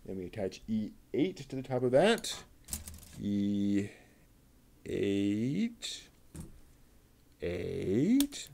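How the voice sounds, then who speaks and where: A man giving casual running commentary while he builds a model kit, talking slowly and methodically and half to himself. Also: lowish voice, sing-song, vocal fry, mellow, slight background noise. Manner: muttering to himself, slow and methodical.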